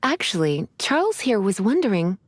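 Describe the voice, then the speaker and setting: A woman voicing a sultry, languid, teasing enchantress with coy, genteel manners in an animated game. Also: mid-range voice, moderate pace, slight background noise, close to an everyday voice. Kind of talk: animated game dub acting.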